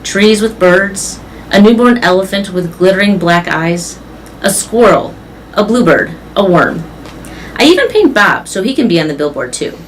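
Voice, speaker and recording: A man voicing a gentle, weary gorilla who tells his own story in a children's storybook read-aloud. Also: sits high, animated, moderate pace, slight background noise, low-quality recording.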